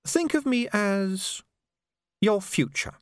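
Man voicing a raised-pitch, deliberate hooded assassin. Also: lively intonation, clear, resonant tone, dry recording, studio quality. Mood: cool menace. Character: mysterious hooded male assassin, coolly menacing.

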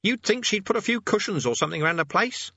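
A man voicing a smug teenage boy in a storybook reading, with a high whiny voice. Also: expressive, fast talker, gravelly, sharp tone, no room sound, dull audio, exaggerated voice. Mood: complaining.

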